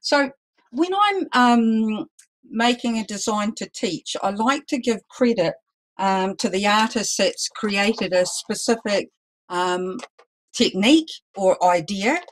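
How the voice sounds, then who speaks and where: A woman teaching a live balloon-twisting lesson, talking loudly and chattily with some self-deprecating humor. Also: mid-range voice, expressive, takes it slowly, poor audio. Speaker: balloon artist teaching a workshop. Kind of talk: live online demonstration lesson.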